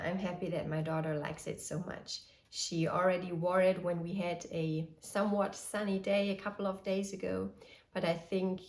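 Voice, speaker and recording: A woman in her thirties hosting a knitting podcast, talking in a cheerful, detailed way and pausing now and then while still getting over a cold. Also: lowish voice, darkish tone. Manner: cheerful, detailed, slightly hesitant. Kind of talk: casual show-and-tell. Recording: echoey room.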